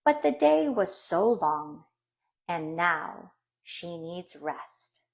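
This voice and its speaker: A woman reading a children's storybook aloud in an expressive, deliberate way for young listeners. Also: fairly low pitch, vocal fry. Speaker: storybook reader.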